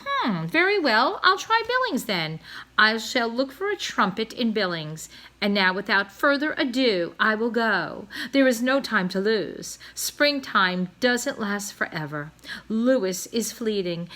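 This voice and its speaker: A woman's voice: fairly high pitch, very animated, a little slow, bright, forceful tone, exaggerated voice. A woman voicing a pompous, passionate father swan in a storybook read-aloud, declaiming in grand, florid style. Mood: urgent, exuberant.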